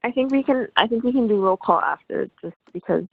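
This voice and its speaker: A woman in student government speaking in an online meeting, losing her voice, informal and halting with fillers. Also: on the high side, animated, gravelly, husky, dry recording. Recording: tinny phone-like call audio.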